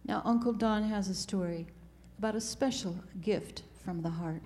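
A woman's voice: medium pitch, normal speed, a little mellow. Woman introducing the next story. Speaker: program host. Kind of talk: introducing readers.

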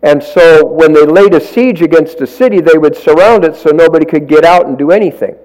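A man preaching in church, telling folksy stories with self-deprecating asides and a loud, projecting voice on a stage microphone. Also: fairly high pitch, a little fast, poor audio. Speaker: lay preacher. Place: church sanctuary. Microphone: stage microphone, walks around.

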